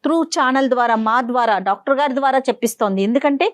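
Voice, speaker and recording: Firm, quick-talking female co-host. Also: high-pitched, dry recording. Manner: firm, interjecting. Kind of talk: talk-show conversation.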